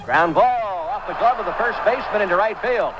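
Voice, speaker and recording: A man's voice: high voice, lively intonation, a little fast, over loud music, rough recording. An American man calling live baseball play-by-play in short, projected phrases. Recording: loud background noise, old game broadcast. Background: loud ambient noise.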